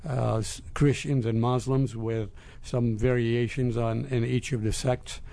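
Male interview guest, fifties, from Lebanon, creaky voice, soft dark tone, hesitant careful phrasing. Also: mid-range voice, little pitch movement. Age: 50s. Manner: halting, measured phrasing. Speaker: interview guest, business executive. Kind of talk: conversational interview answers.

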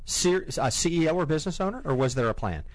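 A man's voice: fairly high pitch, lively intonation, fast talker. A man hosting an interview, asking questions conversationally and often repeating his first words as he starts. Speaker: interviewer, host.

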